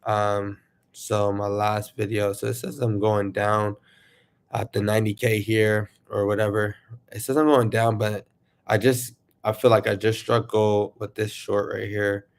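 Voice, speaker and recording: A man casually chatting to viewers on his livestream, rambling and excitable, sniffling from allergies. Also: fairly low pitch, a little slow, creaky. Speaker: YouTuber livestreaming.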